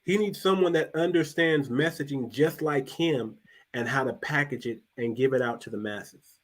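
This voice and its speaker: A man hosting a casual talk show, speaking loosely, repeating and restarting words, and dropping his voice low for emphasis. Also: normal speed. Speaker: podcast host.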